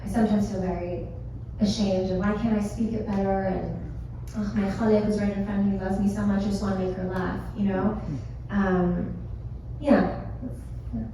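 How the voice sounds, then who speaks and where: A woman's voice: medium pitch, flat delivery, normal speed, dark tone, slight background noise. A woman playwright from California speaking candidly and with self-deprecation on a panel. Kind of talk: casual panel talk. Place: theater stage. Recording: echoey hall, distant mic.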